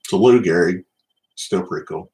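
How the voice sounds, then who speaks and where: A middle-aged man with a Southern US accent and a low-pitched voice hosts a casual livestream. He talks in an unhurried, conversational way, addressing viewers directly by name. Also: darkish tone, low-quality recording. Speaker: livestream host, card collector.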